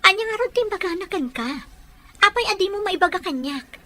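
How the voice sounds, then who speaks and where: A woman's voice: very high voice, expressive, brisk, sharp tone, a little hiss or hum, exaggerated voice. A woman playing an earnest, persistent young girlfriend in an audio drama, insistent in how she speaks. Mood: suspicious, insistent. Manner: insistent, probing.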